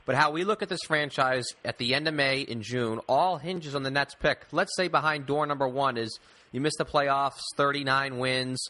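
A man's voice: on the high side, pushed and bright. A man hosting casual sports talk, speaking emphatically and repeating phrases to stress his points.

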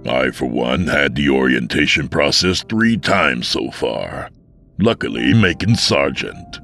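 Horror audio drama: male actor as commanding undead sergeant, extremely low and gravelly, slow, composed menace. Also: lively intonation, fairly bright tone, faint music behind, exaggerated voice. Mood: matter-of-fact. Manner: unhurried, authoritative, menacingly composed. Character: calm, commanding male prison sergeant from the afterlife. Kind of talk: horror audio story acting.

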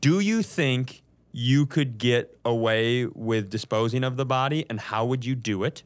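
A man's voice: mid-range voice, a little slow. A man co-hosting a comedy podcast, chatting casually in a joking, profane way.

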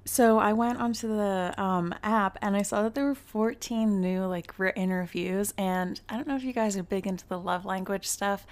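A young woman in her twenties hosting a casual solo podcast, her voice raspy from just waking up, chatty and giggly with many fillers. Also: medium pitch, normal speed, few pauses. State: raspy morning voice. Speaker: podcast host.

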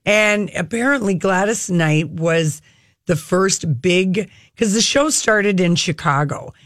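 A woman's voice: on the low side, slow pace, fairly bright tone, dry recording, studio quality. An enthusiastic woman hosting a casual talk show, chatting about television.

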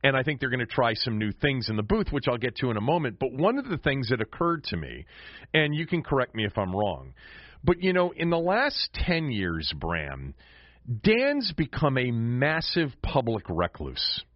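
A man's voice: mid-range voice, big pitch swings. An American man hosting a sports podcast in a conversational way, often repeating words as he goes. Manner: halting, repeats words while forming questions. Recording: slightly dull, roomy sound.

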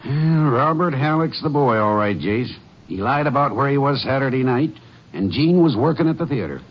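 An actor playing a folksy, plainspoken small-town sheriff in a 1950s radio drama, talking in a drawling, ungrammatical way. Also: male voice, mid-range voice, animated, moderate pace, natural voice. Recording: muffled old radio broadcast with faint hiss.

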